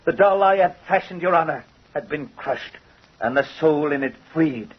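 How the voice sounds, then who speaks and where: A man's voice: a little high, expressive, slightly rough. An actor playing a guilt-ridden craftsman confessing to a judge, earnest and dramatic, in old-time radio style. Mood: agitated, horrified. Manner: dramatic, earnest, deliberate phrasing. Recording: muffled old radio recording.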